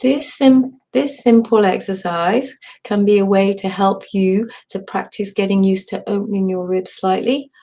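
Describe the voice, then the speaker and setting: A woman with a hoarse, partly lost voice, gently guiding a live online voice and breathing workshop, meandering with restarts and asides. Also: mid-range voice, steady tone, unhurried, hardly any pauses. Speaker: somatic voice and movement teacher.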